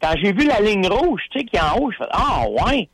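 A man with a Quebec French accent chats on the radio in a slightly high voice. He tells his stories with casual slang and plenty of fillers. The sound is dry and close-miked. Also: brisk, no echo. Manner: animated storyteller, folksy slang, many fillers.

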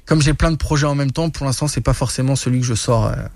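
A man from France, a guest telling war stories in a casual studio interview, animated and full of restarts. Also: medium pitch, fast talker, vocal fry, no room sound. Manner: animated, many restarts and laughs. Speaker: guest, reporter and influencer. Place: radio studio.

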